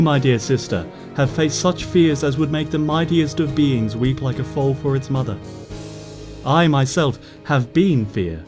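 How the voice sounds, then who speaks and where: A gentle, wise moon princess pony who talks in formal, old-fashioned phrasing, as a man reads her. Her voice sits at an ordinary middle pitch. This is a storybook reading with soft music underneath. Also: lightly acted. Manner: formal, archaic-tinged, tender speech.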